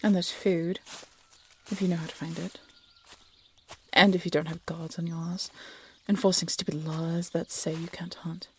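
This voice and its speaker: A woman's voice: fairly low pitch, little pitch movement, moderate pace, slight background noise, close to an everyday voice. A woman playing a guarded, defiant peasant huntress in a fantasy audio drama, casual and sardonic. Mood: wry, guarded. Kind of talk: fantasy audio roleplay acting.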